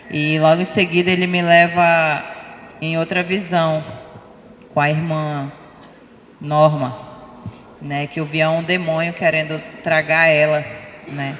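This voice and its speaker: A Brazilian man with a fairly high, monotone voice recounts a religious testimony in a plain, halting way. He is heard in an echoing hall with some noise. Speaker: church member giving testimony. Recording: noisy, dull, reverberant hall recording.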